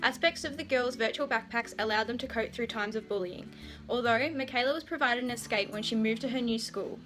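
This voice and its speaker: A young Australian student speaks with an earnest, chatty manner. Her voice is bright and forward, with faint music under it. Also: a little high, a little fast. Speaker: university student. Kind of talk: scripted narration for a class video.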